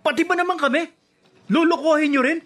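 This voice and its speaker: A man's voice: extremely high pitch, fairly quick, a bit bright, soft background music. A man with a Filipino accent playing an earnest, hurt young son in a radio drama. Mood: indignant. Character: earnest, hurt, indignant young son confronting his father.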